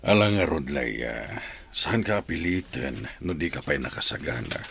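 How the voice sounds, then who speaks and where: Casting: actor for earnest Ilocano Filipino suitor in radio drama, low-pitched and heavily rasping man's voice, devoted. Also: very animated, normal speed, a bit bright, heavily acted. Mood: tender, patient. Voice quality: gravelly, husky.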